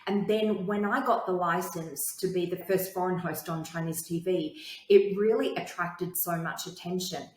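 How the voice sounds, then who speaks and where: A woman's voice: lowish voice, fairly even intonation, normal speed. Confident woman in an echoey room. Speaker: guest, entrepreneur and TV host. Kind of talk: interview.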